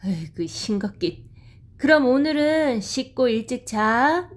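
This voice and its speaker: A woman voicing a busy, pushy working mother in an audio drama, talking in a brisk, nagging way. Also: on the high side, expressive.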